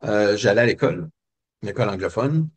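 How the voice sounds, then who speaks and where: Un homme québécois, invité d'une entrevue, qui raconte son enfance d'un ton réfléchi, avec beaucoup d'hésitations. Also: male voice, on the low side, lively intonation, a little fast, husky. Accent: Québécois French. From Quebec. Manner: reflective, many hesitations and fillers. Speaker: guest. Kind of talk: personal storytelling interview.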